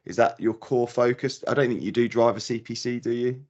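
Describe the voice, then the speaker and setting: A man's voice: mid-range voice, steady tone, brisk, darkish tone, highs cut off. A man hosting a podcast, talking hesitantly with many restarts, friendly toward his guest. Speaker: podcast host. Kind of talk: podcast interview.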